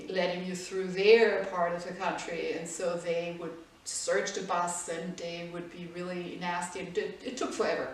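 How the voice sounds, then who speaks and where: A German woman in her fifties with a German accent, recalling her past slowly in an interview. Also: on the low side, slight background noise. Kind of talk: recalled personal history in an interview. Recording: echoey room, far from the mic.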